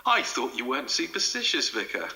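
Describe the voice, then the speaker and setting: Very bright, wry young investigator male actor. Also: mid-range voice, very animated, brisk, exaggerated voice. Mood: teasing. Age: young adult. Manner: wry, chatty, politely self-assured. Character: cheerful, curious young amateur investigator.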